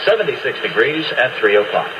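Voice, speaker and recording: A man's voice: medium pitch, big pitch swings, fairly quick, highs cut off, heavy background noise. A man with an American accent hosting a radio show with casual DJ patter, on an old radio broadcast taped to cassette. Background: loud music.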